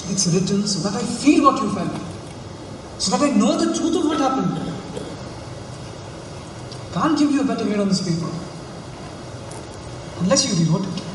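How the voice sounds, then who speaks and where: A man's voice: high-pitched, animated, moderate pace, long pauses. A man acting on stage as an earnest, rigid college writing teacher, recorded in an echoing hall. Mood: earnest, restrained. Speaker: stage actor. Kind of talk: student stage drama acting. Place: theatre stage, recorded from afar. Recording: echoey hall, far mic, noisy background.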